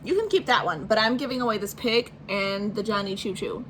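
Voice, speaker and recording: A woman's voice: medium pitch, lively intonation, brisk, slight background noise, natural voice, poor audio. A woman voicing an exasperated mother who scolds and bargains with her son about his toys. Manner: stern, scolding, sometimes yelling. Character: exasperated mother, fed up with clutter.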